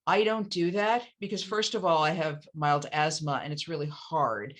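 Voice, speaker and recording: A woman with a low, deep voice leads a casual author conversation. She talks quickly and laughs at herself along the way. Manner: quick, self-deprecating, with laughs. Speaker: interviewer.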